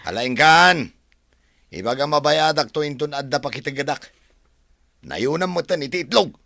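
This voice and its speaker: An actor with an Ilocano accent playing a surly, demanding drunkard husband with a gravelly voice in a radio drama. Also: male voice, on the high side, lively intonation, moderate pace, pushed and bright, no room sound, full character voice. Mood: groggy, grumbling.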